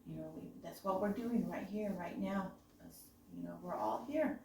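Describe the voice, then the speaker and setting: A woman from the Navajo Nation with a raspy voice from illness, talking reflectively and haltingly with many "you know"s. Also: medium pitch. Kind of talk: personal reflective talk. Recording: echoey room, distant mic, faint noise.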